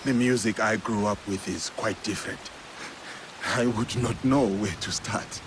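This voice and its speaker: A man's voice: on the low side, steady tone, measured pace, noisy background, lightly acted. A man playing a solemn, courteous warrior in a video game, speaking in a formal, restrained way. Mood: polite, reserved. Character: solemn, courteous male warrior seeking answers. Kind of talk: dramatic video game cutscene acting.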